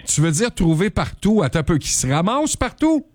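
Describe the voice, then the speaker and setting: A man's voice: high-pitched, very animated, moderate pace, a little mellow, no room sound. A man hosting a morning radio show, chatting casually and joking, his voice jumping up on questions.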